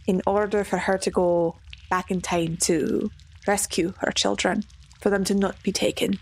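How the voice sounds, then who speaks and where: A woman improvises in character at a tabletop game. Her voice has a medium pitch, and she tentatively pieces her thoughts together as she talks. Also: level tone, normal speed. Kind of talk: in-character improvised roleplay talk.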